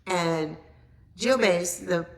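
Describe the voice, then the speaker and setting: A woman's voice: lowish voice, very slow. A woman guest on a podcast casually telling an anecdote, voicing quoted exchanges in a conversational way.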